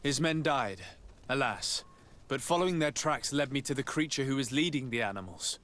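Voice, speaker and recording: A man voicing a polite, resolute noble envoy in a video game, speaking in a formal, measured way. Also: mid-range voice, expressive, a bit bright, lightly acted. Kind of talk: video game cutscene acting.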